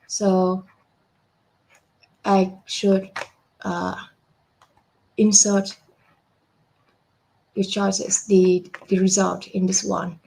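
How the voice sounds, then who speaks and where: A young adult woman walking through a software demo step by step, unhurried, with frequent fillers and self-corrections. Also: mid-range voice, gravelly, poor audio. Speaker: software product presenter. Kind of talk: screen-share software demo walkthrough.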